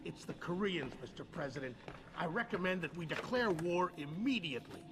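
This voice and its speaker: A man's voice: a little high, expressive, fairly quick, heavy background noise, lightly acted. A man playing a blunt, hawkish government adviser in a video game, speaking insistently. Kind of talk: video game cutscene acting.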